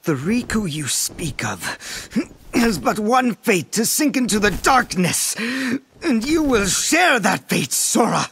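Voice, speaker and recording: An actor playing a taunting, cryptic villain in a video game, with a theatrical, mocking, drawn-out riddling delivery. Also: male voice, sits high, big pitch swings, a bit bright, breathy edge, full character voice. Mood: menacing. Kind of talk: video game cutscene voice acting.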